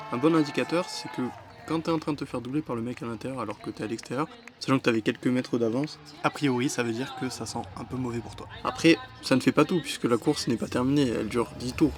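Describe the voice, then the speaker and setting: A young man narrating a voice-over in a casual, explanatory way with chatty asides. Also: medium pitch. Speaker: vlogger narrating. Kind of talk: voice-over commentary.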